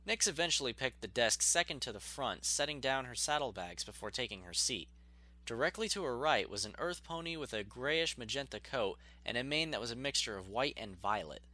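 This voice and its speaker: Lively, bright-voiced male storyteller. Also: medium pitch, brisk. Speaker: narrator. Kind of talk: expressive scripted storybook narration.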